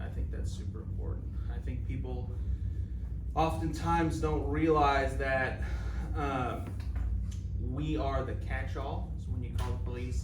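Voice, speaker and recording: A man's voice: medium pitch, distant mic. Casting note: man in his thirties, native Spanish speaker raised near Chicago, casual, friendly, filler-heavy classroom talk, roomy echo, heard from afar over noise. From Chicago area. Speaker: police K-9 handler, guest speaker. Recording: echoey room, from afar, noisy.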